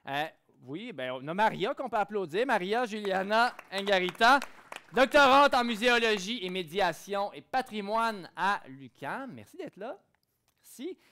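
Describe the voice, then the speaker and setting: A Québécois man with a notably high voice leads a panel discussion on a conference stage. He introduces guests briskly and tosses in playful jokes for the audience. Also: animated, bright, forceful tone. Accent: Québécois French. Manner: playful, joking asides with the audience. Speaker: forum moderator. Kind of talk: lively panel moderation.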